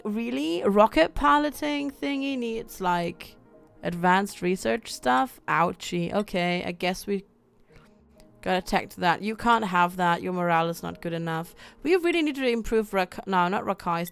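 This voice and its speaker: Gravelly young woman, from germany, casual game stream chat, reads chat aloud, music in the background. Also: mid-range voice, lively intonation, measured pace. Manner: chatty, reads chat aloud, laughs often. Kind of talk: casual livestream chat while playing.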